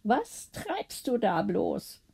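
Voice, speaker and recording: A woman's voice: mid-range voice, huge pitch swings, drawn-out words, vocal fry, darkish tone, breathy edge, heavily acted. A woman voicing a curious, easily astonished woman in a storybook, with a wondering, questioning manner. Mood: fond whisper.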